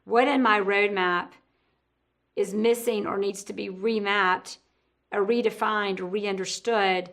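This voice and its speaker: A woman hosting a casual podcast interview, talkative and thinking out loud, often correcting herself as she goes. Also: medium pitch, steady tone, a little slow.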